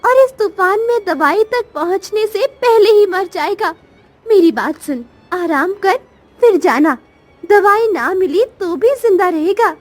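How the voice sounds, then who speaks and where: Woman voicing very high-pitched motherly nature goddess. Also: animated, measured pace. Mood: commanding. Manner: admonishing, maternal, commanding. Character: Mother Nature, stern yet motherly goddess to all creatures. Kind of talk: dramatic Hindi cartoon story dialogue.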